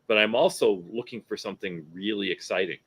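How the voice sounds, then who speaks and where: A man's voice: fairly low pitch, brisk, breathy edge. A man speaking casually as an industry panelist, emphatic and repeating himself to make his points. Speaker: industry panelist who reviews scripts.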